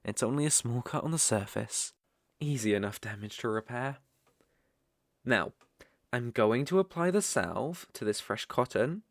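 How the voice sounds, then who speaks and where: Casting: male actor as possessive British dark elf master for ASMR roleplay; unhurried, condescending, coaxing, widely swooping intonation. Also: mid-range voice, no room sound, studio quality, heavily acted. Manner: teasing, condescending, coaxing, with soft chuckles.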